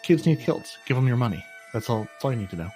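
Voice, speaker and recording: Podcast co-host, male, mid pitch, casual and rambling with stutters, faint music underneath. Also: very animated, quick pace, mellow. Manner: chatty, self-mocking, repeats words. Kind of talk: casual gaming podcast chat.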